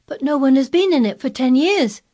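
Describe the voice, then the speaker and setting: A woman's voice: a little high, moderate pace, darkish tone, pitched above the performer's own voice, close to an everyday voice. A woman voicing an imperious, eager young boy who speaks with lordly decision, as in a storybook reading. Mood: astonished. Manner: commanding, lordly, emphatic.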